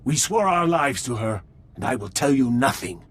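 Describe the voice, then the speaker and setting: A proud alien zealot for a video game, with a husky voice. He declaims solemnly and formally, like one sworn to a cause, defiant and utterly loyal. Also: mid-range voice, sing-song, moderate pace. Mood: reverent, proud.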